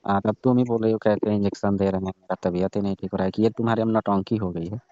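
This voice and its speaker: A middle-aged man with a very deep, mellow voice speaks slowly and casually, and his tone is flirting. The phone call sounds muffled and dry. He answers with short murmured agreements between his longer lines. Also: fairly even intonation, dry recording. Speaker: village quack doctor. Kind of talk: staged funny phone call.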